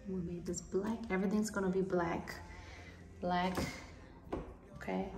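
A woman vlogger giving a casual home tour in a gushing manner with drawn-out emphasis, recorded on a handheld phone in a bathroom. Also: on the low side, flat delivery, gravelly, darkish tone, soft background music. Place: small tiled bathroom indoors, being remodelled. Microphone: handheld phone behind the camera. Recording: echoey bare bathroom.

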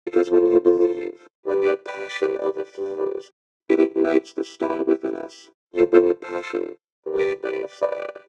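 A man's voice: unhurried, mellow, highs cut off, soft background music. A man reading a dramatic motivational voice-over through a robotic synth vocoder. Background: synthesizer tones.

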